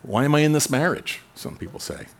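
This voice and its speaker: A man preaching a sermon in a conversational way, rising in pitch and volume for emphasis. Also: animated, brisk, gravelly. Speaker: pastor.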